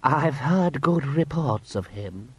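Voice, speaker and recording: A man's voice: medium pitch, animated, slow, darkish tone, breathy edge, no echo, lo-fi sound, pitched above the performer's own voice. A man voicing a haughty, snobbish aristocratic lord in an audiobook, pompous and disdainful. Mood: pompous approval. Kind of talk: audiobook character acting.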